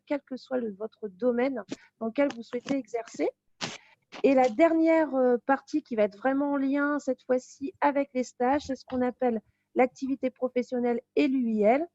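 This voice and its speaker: High-voiced woman explaining a course, dull audio. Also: dark tone, dry recording. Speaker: teacher presenting a real estate course. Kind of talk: informal explanatory presentation.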